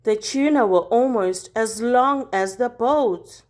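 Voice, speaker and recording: A woman reading a children's picture book aloud like a teacher, expressively, with deliberate dramatic emphasis on key words. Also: fairly high pitch, a little mellow.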